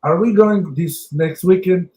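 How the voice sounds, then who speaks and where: A man with a Bulgarian accent, a guest telling stories in an interview, halting with many fillers. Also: high voice, warm tone. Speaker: guest, audio company owner. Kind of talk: storytelling interview.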